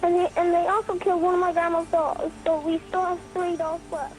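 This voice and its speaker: A woman calling emergency services, tearful and halting, heard in an archival phone recording. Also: very high-pitched, little pitch movement, over loud music, rough recording. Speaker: emergency caller.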